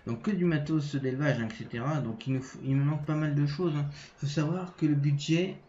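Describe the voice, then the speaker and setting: A French man walks through a game in a casual, halting way, with a stuffy nose from a cold and many pauses and restarts. His voice is mellow and dark. The home mic sounds a bit roomy and dull, with faint noise behind. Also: fairly high pitch. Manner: hesitant, many fillers and restarts. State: has a cold. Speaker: gaming channel host. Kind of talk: casual game walkthrough commentary.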